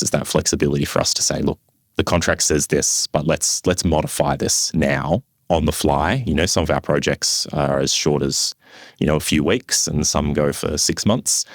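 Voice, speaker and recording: Very deep-voiced man in conversation. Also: dry recording, clean studio sound. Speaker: podcast guest, construction professional. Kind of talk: conversational interview answers.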